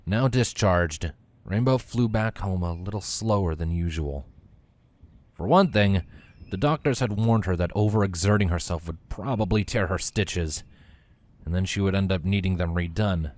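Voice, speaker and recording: Low-pitched man narrating a story. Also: normal speed, darkish tone.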